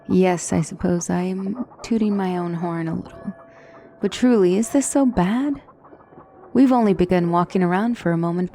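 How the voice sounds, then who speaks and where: A woman playing a poised, formal demonic tutor in a fantasy ASMR roleplay, speaking as a wise and gently reassuring mentor with measured, courtly phrasing. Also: fairly low pitch, gravelly, slight background noise. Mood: gentle, amused. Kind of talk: ASMR fantasy roleplay, scripted character acting.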